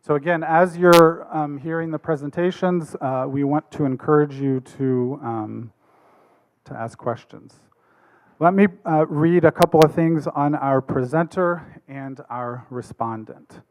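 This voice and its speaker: A man moderating an academic symposium, introducing the speakers in a relaxed way with frequent pauses. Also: on the high side, low-quality recording.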